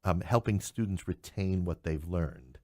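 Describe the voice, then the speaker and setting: A middle-aged man with a deep voice in a dry, close recording. He speaks conversationally as an interview guest, spinning anecdotes with animation and often restarting his phrases. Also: lively intonation, unhurried, darkish tone, no echo. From New York City. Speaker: podcast guest, English professor.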